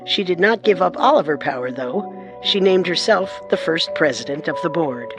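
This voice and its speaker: A woman narrating a true-crime radio drama in a measured, suspenseful scripted style. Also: lowish voice, lively intonation, rough recording. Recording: music bed beneath narration.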